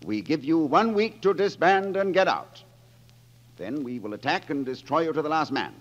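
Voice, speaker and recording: An actor playing a gallant romantic rogue poet, courtly and wry, in a 1940s radio drama. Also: male voice, a little high, expressive, normal speed, lo-fi sound, close to an everyday voice. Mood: commanding. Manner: poetic, wryly courtly.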